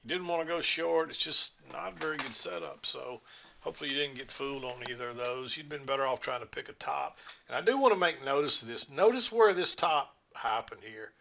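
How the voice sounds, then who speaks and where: A man's voice: mid-range voice, fairly quick, creaky, bright, forceful tone, dull audio. A man reviewing trading charts, rambling folksily as he thinks aloud, his voice a little scratchy. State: slightly scratchy voice. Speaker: trading instructor. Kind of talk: screen-share chart walkthrough.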